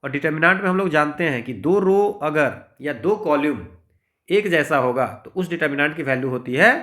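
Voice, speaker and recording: A man's voice: fairly high pitch, a bit bright. A man with an Indian accent teaching informally as a maths tutor, explaining problems step by step and often checking in with the listener. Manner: explanatory, with frequent check-in tags. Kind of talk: informal exam-prep lesson, working through problems step by step.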